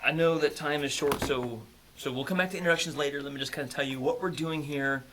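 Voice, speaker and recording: A man's voice: fairly high pitch, fairly even intonation, fast, noisy background. A man playing a flustered teacher on a video call, hedging and stumbling through filler words. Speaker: teacher in a comedy sketch. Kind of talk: improvised comedy sketch on a video call.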